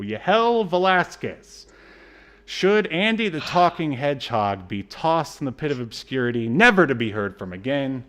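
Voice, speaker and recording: A man's voice: mid-range voice, a bit bright. A man co-hosting a podcast, chatting casually in an unscripted way. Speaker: podcast co-host. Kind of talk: casual chat about a film.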